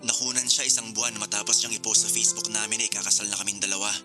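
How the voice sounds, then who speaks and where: An actor playing a joking, nervous young groom with cold feet in a Filipino radio drama, hesitant and confiding. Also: male voice, lowish voice, little pitch movement, rapid-fire, music in the background, close to an everyday voice. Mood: troubled, confessing.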